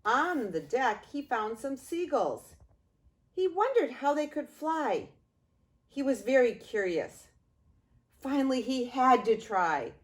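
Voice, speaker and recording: An older woman, a grandmother, reading a children's story aloud in an expressive way, drawing out words and pausing. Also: high voice. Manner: expressive, with drawn-out words and pauses.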